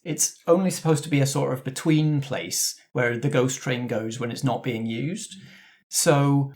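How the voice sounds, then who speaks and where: A man's voice: medium pitch, unhurried, darkish tone, close to an everyday voice. Man playing a chatty ghost-train conductor. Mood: chatty, unsure. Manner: chatty, colloquial, hesitant, repeating himself. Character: plain-spoken, protective male conductor of a ghost train.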